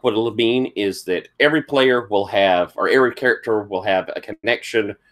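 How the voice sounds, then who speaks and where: A tired man with a thick Southern US accent, politely walking players through tabletop game setup as game master. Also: fairly low pitch. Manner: polite, accommodating. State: tired from lack of sleep.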